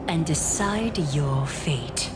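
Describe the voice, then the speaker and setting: A stern, regal judge in a fantasy game. Her lowish voice is grand and extremely slow, with loud music behind it. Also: animated. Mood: stern judgment. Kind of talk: dramatic video game dialogue.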